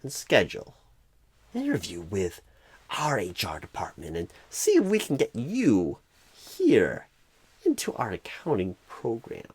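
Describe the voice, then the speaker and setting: Man playing a husky, halting, clueless job recruiter. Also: on the low side, huge pitch swings, exaggerated voice. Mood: earnest, rambling. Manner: halting, oddly stressed phrases in a Christopher Walken-style impression. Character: clueless, bumbling male job recruiter, earnest and scatterbrained. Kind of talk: ASMR roleplay.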